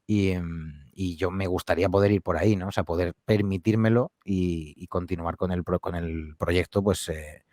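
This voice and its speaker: A man who makes horror audio fiction podcasts, answering interview questions in a relaxed, rambling way, with lots of fillers and restarts. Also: low-pitched, normal speed, dry recording, studio quality. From Spain.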